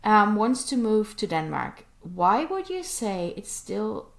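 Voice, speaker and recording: A woman hosting a podcast, asking her guest questions in a friendly way with pauses. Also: medium pitch, very animated, vocal fry. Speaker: podcast host. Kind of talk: interview questions.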